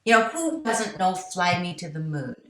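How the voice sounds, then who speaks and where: Middle-aged woman chatting about her singing life, bright voice, lively, quotes people, echoey room. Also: on the low side, moderate pace. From United States. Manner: animated, tells stories with quoted asides and laughs. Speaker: interview guest, jazz singer and songwriter. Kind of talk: conversational interview storytelling.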